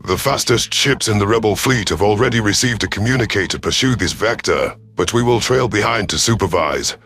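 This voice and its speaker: A man's voice: on the low side, fairly quick, fairly bright tone. A man voicing a battle-hardened alien predator commander who gives formal, authoritative orders in a sci-fi audio story. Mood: stern, commanding. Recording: music behind the voice.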